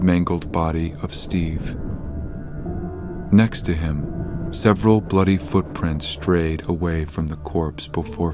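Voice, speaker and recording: A man narrating a scripted horror story in a measured, literary manner. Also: low voice.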